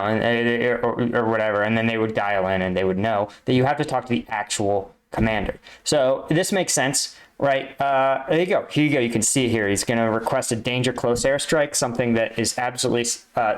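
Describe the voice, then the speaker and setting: Casting: American male reactor, mid-pitched, casual explainer who thinks aloud haltingly. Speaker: reactor, army combat veteran.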